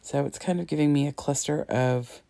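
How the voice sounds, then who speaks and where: A woman in her thirties with a low, gravelly, hoarse voice walks through her scrapbook layout in a chatty, meandering way. Her tone is mellow and the sound is dry and close. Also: moderate pace, dry recording. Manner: chatty, rambling, frequent ums and throat-clearing. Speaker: scrapbooking crafter. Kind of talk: casual craft tutorial narration.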